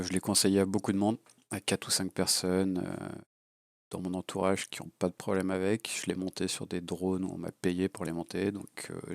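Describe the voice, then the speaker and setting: Gravelly low-voiced man with a cold. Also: no room sound, studio quality. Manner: blunt and crude, rambling with many fillers. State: has a cold. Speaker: FPV drone hobbyist reviewer. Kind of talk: casual unscripted product review. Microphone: low-level home mic.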